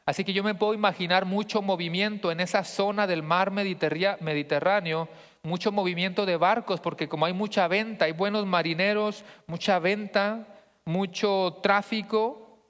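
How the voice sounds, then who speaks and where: A man's voice: high-pitched, steady tone, moderate pace, a bit bright. A man with a northern Mexican accent preaching as a pastor, teaching conversationally and often checking in with questions. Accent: northern Mexican Spanish. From Monterrey, northern Mexico. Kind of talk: teaching sermon to a congregation. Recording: long hall reverb.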